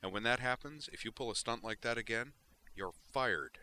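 A man's voice: lowish voice, sing-song, a little fast, bright, forceful tone, slight background noise, pitched above the performer's own voice. Man reading a furious, worn-out starship pilot. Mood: angry. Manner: heated, grumbling. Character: angry, weary male starship pilot.

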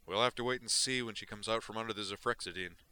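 A brash, agitated man barks and curses through every line, loud and sharp-edged, as a male reader puts him on. The voice sits far higher than the reader's own deep tone. Pure restless storybook mania. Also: quick pace, clear, resonant tone. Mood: brusque. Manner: shouting, cursing, agitated. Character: brash frantic man, gonzo doctor. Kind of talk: audiobook character voice.

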